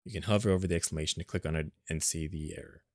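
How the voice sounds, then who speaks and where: A man narrating an unscripted step-by-step software tutorial in a plain, instructional manner, recorded as a screen voice-over. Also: a bass voice, fairly even intonation, rapid-fire, dry recording, studio quality.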